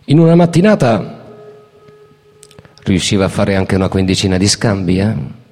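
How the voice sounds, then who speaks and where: A man's voice: low voice, sing-song, moderate pace, a little mellow. A man delivering theatrical scripted narration as a storyteller. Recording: reverberant hall. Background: music.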